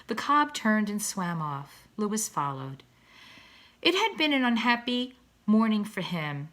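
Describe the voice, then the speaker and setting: A woman reading a storybook aloud to children as a teacher would, expressive and deliberate in her narration. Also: mid-range voice.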